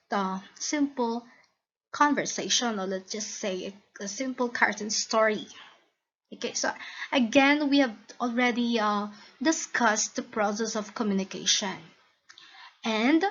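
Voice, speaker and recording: A Filipino-accented woman teaches in a high voice. She speaks slowly and deliberately, checking in with listeners as she narrates, on a slightly muffled, lo-fi recording. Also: fairly bright tone. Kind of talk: scripted lesson narration. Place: recorded voice-over for slide lesson. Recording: dull, lo-fi voice recording.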